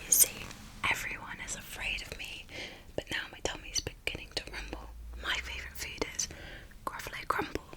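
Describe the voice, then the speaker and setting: A small, cocky storybook mouse speaks in a hushed whisper, played by a woman. It sounds confident and matter-of-fact as it boasts. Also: normal speed, lightly acted, rough recording. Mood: sly.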